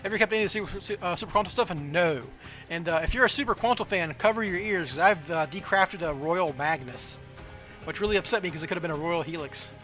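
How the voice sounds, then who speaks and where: Man, Southern American accent, slightly high-pitched, casual livestream chatter, thinking out loud, faint music behind. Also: fast. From southern United States. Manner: thinking aloud, self-questioning. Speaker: game streamer. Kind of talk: casual livestream chat while playing a card game.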